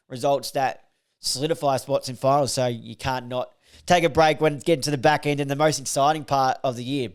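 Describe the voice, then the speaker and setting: A man, slightly croaky from a recovering voice, hosting a casual solo podcast and reeling off football stats with lots of fillers. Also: fairly high pitch. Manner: rambling, filler-heavy, reels off stats. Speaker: podcast host reviewing football.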